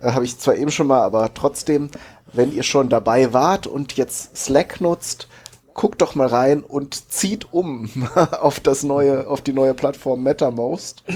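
A man's voice: mid-range voice, darkish tone. A man co-hosting a casual group podcast, hesitantly searching for his words. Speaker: podcast co-host.

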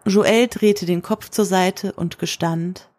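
A woman with a husky voice reads scripted literary narration for an audio drama. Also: on the low side, steady tone, slow pace, a little mellow, no echo.

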